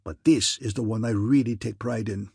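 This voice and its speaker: A man voicing a brash, boastful con man who poses as a provincial count, gruff and pompous, with a thick invented aristocratic accent. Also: lowish voice, normal speed, dark tone, dry recording, clean studio sound. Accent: thick invented foreign aristocratic accent. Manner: gruff, pompous, scowling delivery.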